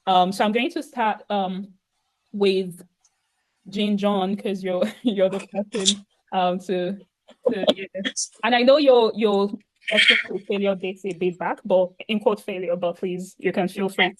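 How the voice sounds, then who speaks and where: A woman hosting a panel discussion over a video call, relaxed and conversational with many fillers. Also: medium pitch, a little slow, noisy background. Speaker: host and moderator. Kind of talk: casual panel moderation.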